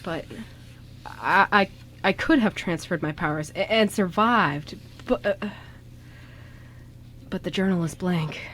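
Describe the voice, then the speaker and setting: A woman's voice: lowish voice, slow, long pauses, fairly bright tone. A woman acts out an anxious young guy who travels through time. He stammers and trips over himself, flustered and hesitant, in a homemade radio play with faint noise on the recording. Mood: distressed. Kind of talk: amateur radio drama acting. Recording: noisy amateur radio show recording.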